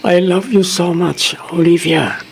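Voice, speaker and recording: Radio drama husband, Filipino accent, male actor as a playful, eager young employee, very high-pitched, slight background noise. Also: fairly even intonation, slow, vocal fry, full character voice. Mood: amorous.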